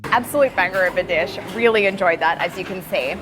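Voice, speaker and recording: A woman's voice: fairly low pitch, fast talker, rough recording. A young woman hosting a food vlog, chatting enthusiastically about flavors as she eats out on a city street. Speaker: food vlogger host. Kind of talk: casual food vlog chat with voice-over. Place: outdoors on a busy city street. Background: background music.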